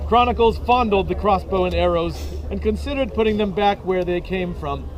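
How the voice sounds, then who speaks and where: A man narrating a comic story in a deadpan storybook manner, recorded outdoors at an event. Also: sits high, moderate pace, rough recording. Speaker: reader. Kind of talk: comic dramatic reading aloud.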